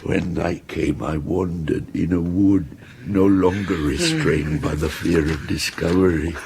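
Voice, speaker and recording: An actor playing an anguished, vengeful outcast monster who speaks in formal, theatrical language in a radio drama. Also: male voice, low-pitched, steady tone, gravelly, background noise. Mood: brooding. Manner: formal, slow, theatrical delivery. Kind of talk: radio drama acting, first-person narration and dialogue.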